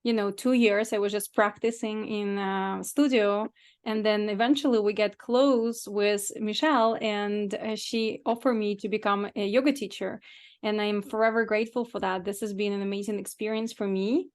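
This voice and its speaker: A woman's voice: medium pitch, steady tone, normal speed, smooth. A woman hosting a casual interview, chatting in an effusive, complimentary way with her guest.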